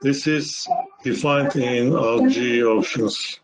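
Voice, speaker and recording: Male webinar presenter, very unhurried and halting, medium pitch, walking through software steps. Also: background noise. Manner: halting, step-by-step explaining in short phrases.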